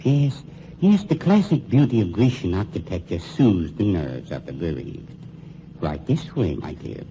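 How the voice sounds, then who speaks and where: A man's voice: sits low, very animated, unhurried, dark tone. An actor playing a suave, menacing male undertaker in a 1940s radio drama, coldly polite and drawn out. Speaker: funeral home owner. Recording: muffled 1940s radio broadcast with faint hiss.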